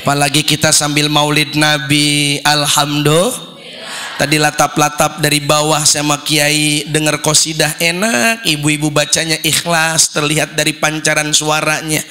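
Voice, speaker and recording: A man, hoarse after losing his voice, preaching a comic sermon and calling out questions to the congregation. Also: on the high side, normal speed, a little hiss or hum. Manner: joking, lively, calls out questions to the crowd. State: hoarse, recovering from lost voice. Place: religious gathering.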